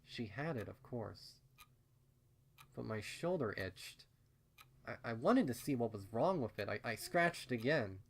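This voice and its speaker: A man narrates a first-person story at a slow pace. His voice sits at a middling pitch, and his delivery is measured and subdued, like someone reading fan fiction aloud. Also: lively intonation, creaky.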